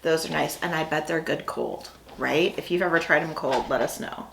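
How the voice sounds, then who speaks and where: A woman's voice: deep voice, fairly even intonation, moderate pace, creaky, noisy background. A woman, co-hosting a snack review and chatting casually in a rambling way. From Midwestern United States. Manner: chatty and rambling, with fillers and asides. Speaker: food review channel co-host. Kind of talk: casual chat while tasting snacks. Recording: echoey room.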